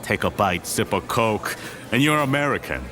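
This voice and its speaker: A man acts out a powerful middle-aged businessman uncle in a podcast drama. His voice sits low, jovial on top and ruthless underneath. Music plays clearly behind him. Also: expressive, normal speed, crowd noise, natural voice. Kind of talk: audio drama acting.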